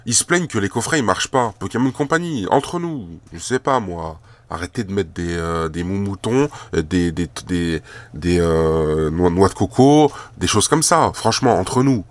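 A man with a cold chats casually over a table of trading cards, his voice a little low. He rambles, repeats himself and fills pauses with hesitations. The sound is dry and close, with no room echo. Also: animated. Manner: rambling, many fillers and repeated words. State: has a cold. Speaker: card collector and shop owner. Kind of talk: casual video chat while handling cards.

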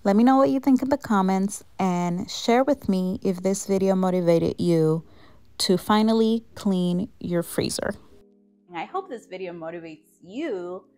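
A woman's voice: medium pitch, darkish tone. A woman in her thirties vlogging from her home kitchen, talking casually and encouragingly to camera about organizing. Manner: chatty and encouraging, laughs at her own mess. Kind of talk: casual talk to camera with voice-over.

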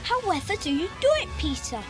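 An actress playing an eager, excitable schoolchild who exclaims a lot in a children's audio drama. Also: female voice, very high-pitched, fairly quick, over music. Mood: excited. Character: eager, excitable English schoolchild, one of a club.

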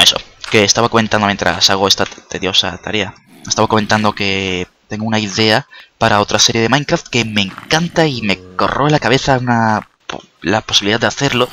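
Non-native gamer guy rambling and cursing over his game, bit low, faint background hiss. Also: sharp tone. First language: not Spanish. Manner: chatty, swears a lot, jokey, startled outbursts. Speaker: gaming video creator. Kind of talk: casual let's-play commentary.

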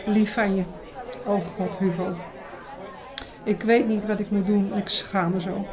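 An actress playing a frank, expressive young woman in an audio drama. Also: mid-range voice, measured pace, a crowd in the background, close to an everyday voice. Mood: tearful, confessing. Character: lively, frank young woman in love with priest friend. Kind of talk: Dutch audio drama dialogue.

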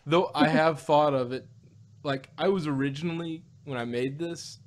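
A man casually discussing an audio drama as a review host, in a halting, rambling way. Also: a little high.

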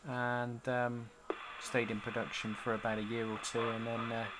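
A man giving a casual, halting walkthrough as a hobbyist showing off his gear. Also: mid-range voice, little pitch movement, over music, low-quality recording. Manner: halting, pausing between short phrases. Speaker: CB radio hobbyist presenting a product demo. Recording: home camcorder with noticeable background noise.

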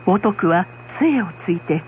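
A woman's voice: on the low side, animated, a little slow, slight background noise. Woman narrating a folktale, muffled lo-fi sound.

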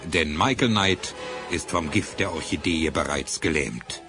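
A man's voice: rumbling low, measured pace, over music. A man giving dramatic scripted narration for a German radio play.

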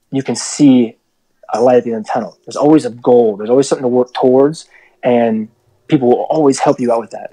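A man's voice: medium pitch, dark tone, dry recording, poor audio. A middle-aged American man telling his own story as a podcast guest in a casual, self-deprecating way. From United States military family, grew up in the Philippines and Alaska. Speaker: podcast guest, army officer and athlete.

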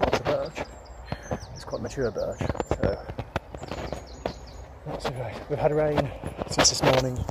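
A man's voice: medium pitch, slow pace, pauses often. A man vlogging casually as he walks through woods, filming on a handheld phone and thinking aloud. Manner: rambling, relaxed, thinking aloud. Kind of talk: casual walking commentary. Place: woodland outdoors. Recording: outdoor phone audio with loud noise. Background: traffic noise.

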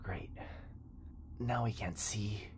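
A man's voice: lowish voice, takes it slowly, a little mellow, voice pushed up. Male actor as young boyfriend, earnest, protective, urgent, tender, ASMR roleplay, faint background noise. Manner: urgent, reassuring.

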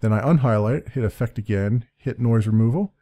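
A man with a deep, mellow voice talks through a casual tutorial from his home computer desk. He rambles, corrects himself mid-sentence and laughs lightly. The sound is close and clean, picked up by a desk-mounted condenser mic with a pop filter. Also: a little fast. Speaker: let's play creator explaining his audio setup. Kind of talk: casual screen-recorded tutorial.

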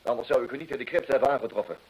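Man acting a proud desperate country gentleman, rough husky voice, emphatic old radio play style, medium pitch. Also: rapid-fire, close to an everyday voice, low-quality recording. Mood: anxious, pleading. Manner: theatrical, earnest, emphatic. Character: desperate, proud gentleman landowner, indebted and fervent. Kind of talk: old-time Dutch radio drama acting.

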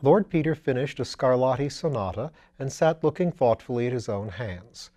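A man's voice: mid-range voice, expressive, normal speed, dark tone. Older man reading a story aloud. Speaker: audiobook reader. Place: attic library room, seated in armchair.

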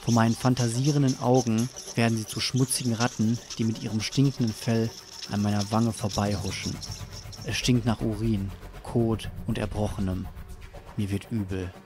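A man's voice: on the low side, steady tone, moderate pace, lightly acted. Audio drama lead , played by a man: tormented, dazed, brooding first-person narrator, over music. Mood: anguished, brooding. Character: tormented, disoriented man narrating his waking nightmare. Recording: music under the voice.

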